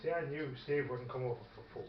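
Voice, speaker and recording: A man talks casually as a tabletop wargame player, thinking aloud and sometimes reading rules in a hesitant way. The room echoes around him, the sound is a little dull, and faint noise sits behind his medium-pitched voice. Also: fast talker. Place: indoor room during a filmed tabletop game. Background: faint noise, radio playing.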